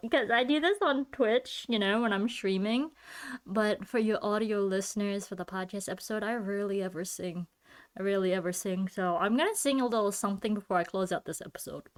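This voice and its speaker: A woman hosting her own podcast alone, talking casually and thinking aloud with a giggly, loopy manner. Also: medium pitch. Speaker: podcast host and streamer. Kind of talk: casual rambling solo podcast talk.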